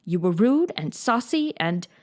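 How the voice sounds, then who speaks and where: A woman's voice: mid-range voice, very animated, unhurried, darkish tone. A woman reading as a stern, dry guardian. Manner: severe, dry.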